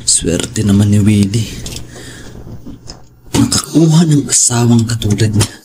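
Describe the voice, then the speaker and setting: A man's voice: fairly low pitch, a little slow, close to an everyday voice. A man with a Filipino accent playing a lustful, envious stalker in an audio drama monologue. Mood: longing, furtive. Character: lustful, envious male stalker watching neighbor's wife. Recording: noticeable background noise with clicks.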